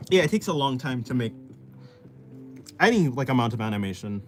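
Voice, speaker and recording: A man's voice: mid-range voice, sing-song, a little fast, soft background music. A man streaming while he draws, chatting casually and explaining art, with rambling talk that often restarts mid-thought. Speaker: artist streamer. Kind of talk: casual live-stream chat while drawing.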